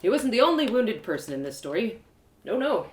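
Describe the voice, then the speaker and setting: A woman's voice: on the high side, very animated, brisk. A woman narrating a story expressively in the first person, as a live storyteller. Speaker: storyteller and host of live readings. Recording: roomy, echoey live reading.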